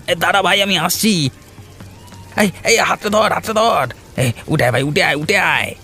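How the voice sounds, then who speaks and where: A man's voice: high voice, normal speed, pushed and bright, faint music behind. A man voicing a roguish village man in a cartoon folk tale, talking in a colloquial, slangy way full of interjections. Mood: urgent. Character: roguish village man Nagen, reformed wrongdoer. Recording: rain behind the voice.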